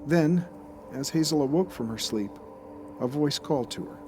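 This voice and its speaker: A man's voice: fairly high pitch, normal speed, dark tone, faint music behind. A man narrating a scripted horror audio drama. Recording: faint background ambience noise.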